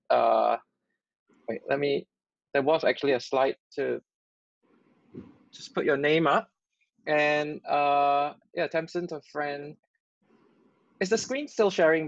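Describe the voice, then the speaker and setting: A man hosting a live online workshop over a video call, talking haltingly with many restarts. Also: on the high side, vocal fry, dry recording. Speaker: workshop host. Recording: dull lo-fi call audio.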